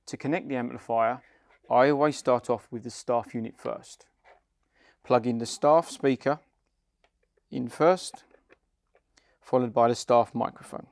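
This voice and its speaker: A man's voice: mid-range voice, fairly even intonation, unhurried, creaky, long pauses, darkish tone, dry recording. Man narrating instructions, dry close sound. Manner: plain step-by-step explaining. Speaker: company head of client development, instructor. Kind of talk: scripted instructional product narration.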